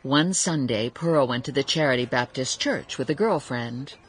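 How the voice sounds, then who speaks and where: A woman's voice: extremely low pitch, lively intonation, moderate pace, a little hiss or hum, poor audio. A woman playing a wry storyteller narrating a family saga in a radio drama. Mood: wry, storytelling.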